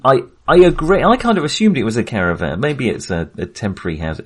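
A man's voice: medium pitch, huge pitch swings, quick pace, slightly rough. A man hosting a film podcast, talking casually and hesitantly, often circling back to rephrase what he has started.

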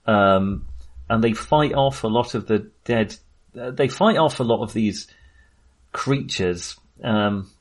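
A man's voice: on the low side, takes it slowly. A man co-hosting a casual film discussion, talking in a hesitant, self-deprecating way. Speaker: podcast co-host reviewing films.

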